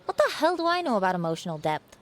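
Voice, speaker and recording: A woman's voice: medium pitch, sing-song, quick pace, lightly acted. A woman playing an unsure, self-doubting seventeen-year-old girl art student in an audio drama, with a youthful, hesitant manner. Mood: weary, exasperated.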